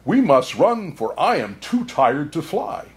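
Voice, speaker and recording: A man voicing a weary, grateful male reindeer from a children's storybook, in an earnest, expressive way. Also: on the high side, measured pace. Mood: relieved, weary.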